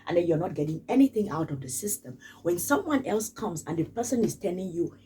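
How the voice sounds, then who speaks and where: A woman's voice: lowish voice, animated, brisk, dark tone, far from the mic, a little hiss or hum. A woman from Cameroon, giving casual spoken commentary in an emphatic way and repeating phrases for stress. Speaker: vlogger.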